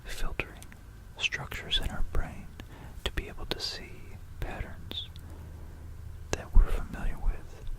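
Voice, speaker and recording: An ASMR presenter narrating history in a hushed, unhurried whisper, repeating words and pausing mid-phrase, close to a stereo microphone. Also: rough recording. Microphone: close stereo microphone for binaural ASMR.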